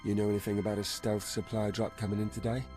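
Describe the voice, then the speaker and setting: An actor playing a weary, modest scout sergeant in a video game, humble and respectful, with understated, informal delivery. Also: male voice, fairly low pitch, flat delivery, quick pace, lightly acted. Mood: easygoing. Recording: loud game music behind. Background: loud music.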